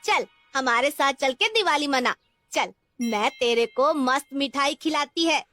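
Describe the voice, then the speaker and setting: A woman voicing a kindly, brisk slum neighbour woman in a cartoon, coaxing in Mumbai street slang. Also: high voice, expressive, fairly bright tone, faint music behind, pitched above the performer's own voice.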